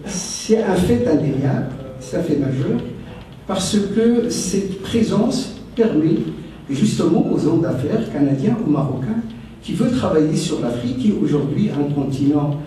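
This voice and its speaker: A man with a very dark, mellow voice presents his bank at a conference, in a measured, hesitant way. He sounds distant from the mic, and his voice rings around a large echoey hall with faint background noise. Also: a little high, sing-song. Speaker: bank representative.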